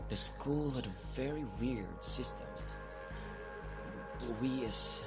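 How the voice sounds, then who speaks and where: A man playing an aggrieved teenage student in a radio drama, halting and emphatic as he speaks. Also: fairly low pitch, lightly acted, poor audio. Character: aggrieved teenage male student recounting injustice. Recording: voice over clear background music.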